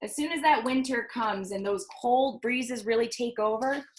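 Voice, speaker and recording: A woman's voice: fairly high pitch, moderate pace. A woman hosting a children's storytime, reading aloud and counting in a singsong, encouraging way for young listeners. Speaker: children's library storytime host.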